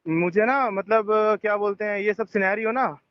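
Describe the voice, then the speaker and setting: Man, very high pitch, insistent, repetitive explaining, tense phone conversation, dull lo-fi call recording. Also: moderate pace, dry recording. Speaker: resort manager on a phone call. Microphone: telephone.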